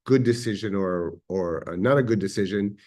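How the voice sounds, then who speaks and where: A man with an Israeli accent giving a measured, reflective history lecture, pausing now and then with fillers. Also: lowish voice, darkish tone. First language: Hebrew.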